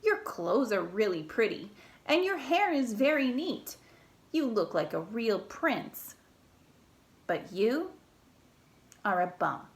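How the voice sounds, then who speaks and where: A clever young princess from a picture book, fearless and emphatic. A woman acts her out, pushing her voice high, with big, exclaiming swings of pitch. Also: very animated, slow pace, pauses often, heavily acted. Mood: scornful.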